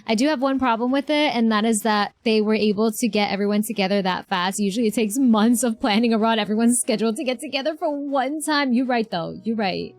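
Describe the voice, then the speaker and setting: A woman streamer giving casual, expressive, exclamatory live reactions to a video game. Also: a little high, brisk, few pauses, no echo. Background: game music.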